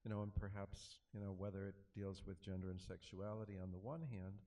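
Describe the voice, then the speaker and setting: Academic male moderator, very low mellow voice, heard from a distance in a theater. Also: fairly even intonation, fairly quick. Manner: hedging, scholarly, with fillers. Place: cinema auditorium.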